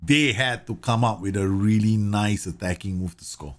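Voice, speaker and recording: Male streamer from Singapore, slightly low-pitched, relaxed running commentary. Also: normal speed. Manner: chatty, laughs often, reads game messages aloud. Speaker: gaming streamer. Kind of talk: casual live game commentary.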